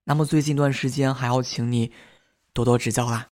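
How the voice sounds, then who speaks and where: A man playing a kind, patient young student in a radio drama, speaking in a gentle, hesitant, reassuring way. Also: medium pitch, steady tone, a little fast, lightly acted. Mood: friendly, shy. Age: young adult.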